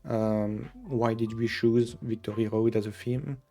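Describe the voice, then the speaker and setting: A man hosting a casual developer stream, talking in a relaxed way with frequent fillers. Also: lowish voice, measured pace, faint music behind. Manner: relaxed, filler-heavy, occasional laughs. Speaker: game developer and stream host. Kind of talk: casual dev stream chat.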